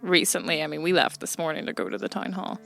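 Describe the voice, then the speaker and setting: A woman's voice: lowish voice, steady tone, fast, gravelly, a bit bright, soft background music. A woman speaking as a player in a tabletop role-playing game, chatting and reasoning casually. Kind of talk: casual improv game chat.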